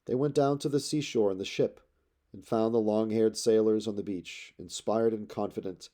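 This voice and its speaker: Male audiobook reader, epic verse, warm and fairly deep tone, measured phrase-by-phrase delivery. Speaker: audiobook narrator. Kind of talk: dramatic literary read-aloud of epic poetry.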